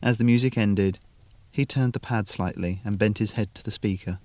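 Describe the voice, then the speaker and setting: Low-voiced man reading short fiction. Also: normal speed, noisy background. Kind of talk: scripted story narration.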